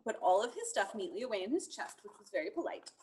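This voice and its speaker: A woman reading a children's picture book aloud expressively, in measured rhyme with chatty asides. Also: medium pitch, husky. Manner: expressive, measured rhyming delivery. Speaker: storytime reader.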